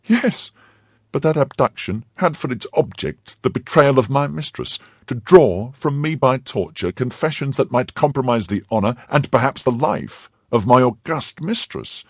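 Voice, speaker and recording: A man voicing an indignant young wife who scolds her husband, pushing his husky voice higher. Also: expressive, moderate pace, no echo, studio quality. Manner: scornful, pleading and scolding by turns. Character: young woman, indignant, fiercely loyal wife.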